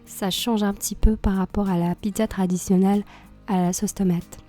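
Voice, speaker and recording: A woman with a cold and a slightly hoarse voice, calmly explaining a cooking tutorial step by step. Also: mid-range voice, normal speed, faint music behind. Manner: calm, explains step by step with small fillers. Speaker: cooking channel host.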